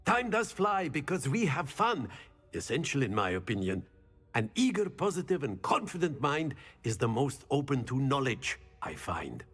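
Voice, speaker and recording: Animated, playful professor, slightly husky. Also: male voice, mid-range voice, normal speed, faint music behind. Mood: upbeat, musing. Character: cheerful, playful male magic-school professor who values fun. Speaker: game character, professor. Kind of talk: scripted game dialogue.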